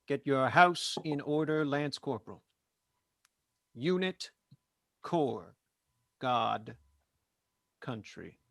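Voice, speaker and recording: A man's voice: medium pitch, gravelly, a bit bright, no echo, exaggerated voice. A man acting a stern, pious Marine officer in a stage play read-through, preaching and commanding with emphatic delivery.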